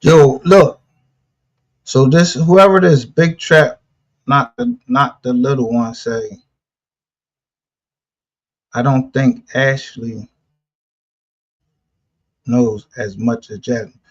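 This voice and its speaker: Man, husky voice, street slang, profane, casual livestream talk, muffled lo-fi sound. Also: mid-range voice, slow, long pauses. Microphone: streaming call. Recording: dull lo-fi stream audio.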